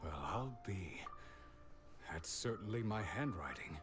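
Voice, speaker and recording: An actor playing a reflective, wistful aging man in a video game, speaking in a measured way. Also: low-pitched, animated, over music. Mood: wistful, puzzled. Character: wistful aging man who lost his memories of love.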